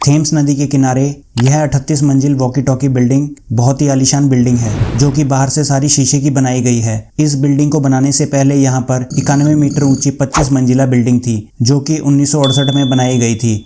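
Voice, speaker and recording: A man's voice: mid-range voice, little pitch movement, fairly quick, hardly any pauses, warm tone. A man hosting a facts explainer, narrating a scripted story to the viewer. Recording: clearly noisy track with clicks.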